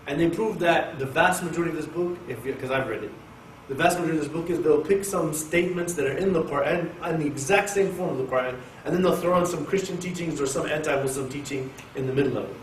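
A man from Oklahoma giving an informal Quran class to an audience in a mosque, talking in a jokey, animated way and turning to people in the room. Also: a little high, fast talker, hardly any pauses. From Oklahoma, USA. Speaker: Quran teacher. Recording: echoey room, distant mic, faint hiss.

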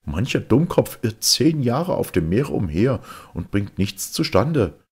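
A put-upon young huntsman in a fairy tale , voiced by a man. He is earnest and plain-spoken, his husky voice lifted and animated. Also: medium pitch, unhurried, pitched above the performer's own voice. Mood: bold.

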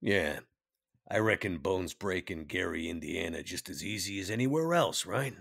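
A man playing a plainspoken, laid-back tough vampire in a tabletop game, with a gravelly, folksy delivery. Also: low voice, lively intonation, normal speed, fairly bright tone, exaggerated voice. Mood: easygoing, tough.

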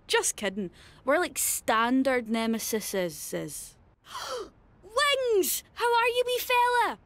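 A cheeky young Scottish immortal from a video game. The voice sits high and swings about freely, playful and irreverent, in a dry close recording. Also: female voice, no echo. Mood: excited, admiring. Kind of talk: video game character voice lines.

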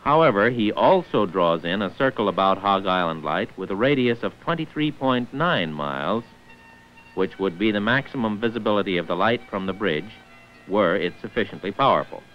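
Male narrator, 1940s instructional film: deep-ish, precise, formal, varied pitch, dated lo-fi sound. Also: lively intonation, normal speed, soft background music. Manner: formal, precise enunciation of figures.

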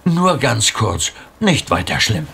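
Courteous psychiatrist with lively intonation. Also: male voice, lowish voice, slow pace, gravelly, background noise, full character voice. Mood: reassuring. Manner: polite, reassuring, attentive. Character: courteous, patient, soothing male psychiatrist. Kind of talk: audio drama acting.

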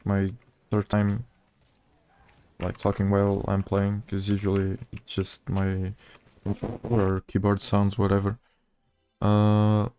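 Mellow, flat Portuguese-accented man. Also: lowish voice, smooth, dry recording. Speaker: gaming video creator. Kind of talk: casual gameplay commentary.

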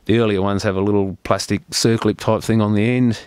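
A man's voice: lowish voice, level tone, a little fast, a little mellow, smooth. A man presenting an unscripted hands-on repair tutorial as a technician, explaining each step in a plain-spoken, practical way.

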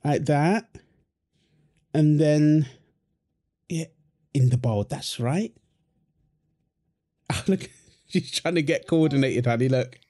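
A man's voice: fairly high pitch, slow pace, pauses often, warm tone. A man playing a young father feeding his baby daughter, playful and affectionate, laughing often. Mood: doting. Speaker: voice actor in audio roleplay. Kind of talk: wholesome audio roleplay.

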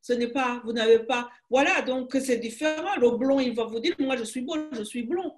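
An older woman with a Rwandan accent speaks in a slightly high voice, halting and emphatic, often restarting her phrases. She talks over a webcam call from a room at home. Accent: Rwandan French. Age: 60s. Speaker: author guest. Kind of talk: conversational interview. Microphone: video call webcam.

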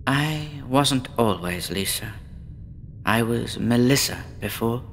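Guy doing a gloomy young woman patient, slow and brooding, echoey horror radio play. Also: medium pitch, animated, vocal fry, a little hiss or hum. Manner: slow, brooding, poetic and weary. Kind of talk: horror audio drama acting.

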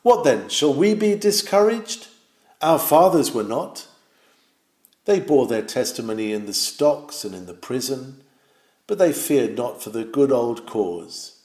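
A man's voice: mid-range voice, mellow, echoey room. A man reading an old sermon aloud in a solemn, exhorting manner, with rising and falling emphasis. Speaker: reader of a historical sermon.

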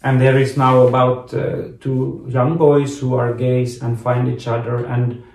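A man, telling his personal story in an interview, haltingly searching for words. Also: medium pitch, completely flat delivery, creaky, a little mellow, distant mic. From Finland. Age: late 30s. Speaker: interview guest, actor. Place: small home bedroom, indoors. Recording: roomy echo, off the mic.

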